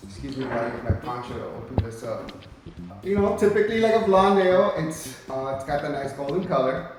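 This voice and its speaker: A man's voice: medium pitch, animated, fairly quick. A man co-hosting a casual beer review, laughing often and slipping in Spanish words. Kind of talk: casual chat. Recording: echoey room from a distance, music behind.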